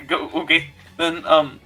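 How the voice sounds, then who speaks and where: Young guy, bright kinda high voice, halting short answers, casual podcast chat w music behind. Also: little pitch movement, husky. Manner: halting, brief replies. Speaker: podcast co-host. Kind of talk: casual improvised fan banter.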